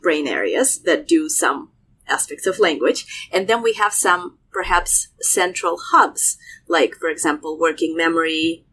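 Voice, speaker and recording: Guest expert, Russian-born woman, lowish voice, animated, faint rumble behind. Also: a little slow. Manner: animated, many fillers and restarts. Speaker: neuroscientist guest. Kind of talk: conversational expert explanation.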